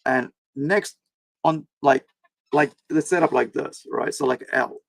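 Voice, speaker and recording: A man's voice: medium pitch, unhurried, gravelly, no room sound. A man born in India whose first language was Hindi, chatting casually in a rambling way with many fillers and restarts on a live stream from his home screen setup. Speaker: comic creator and streamer. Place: home room with several screens.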